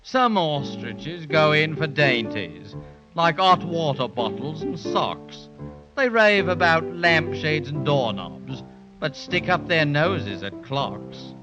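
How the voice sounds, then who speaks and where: An actor playing a jolly, eccentric, boastful ostrich with a Cockney accent in a 1930s children's radio show. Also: male voice, fairly high pitch, sing-song, takes it slowly, muffled recording, over music. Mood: merry, boastful. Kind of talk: 1930s children's radio acting, part sung. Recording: vintage 1930s radio recording.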